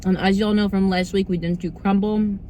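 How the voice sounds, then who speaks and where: A young woman with a slightly hoarse, under-the-weather voice, chatting casually and informally as she hosts a food review vlog. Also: mid-range voice, flat delivery, moderate pace, dark tone, a little hiss or hum. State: slightly hoarse, getting over a cold. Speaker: host of a cookie review video series.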